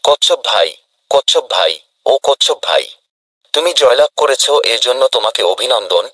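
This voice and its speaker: A man's voice: medium pitch, animated, normal speed, pushed and bright. A man voicing a grumbling sore-loser rabbit in a children's cartoon, complaining and pleading.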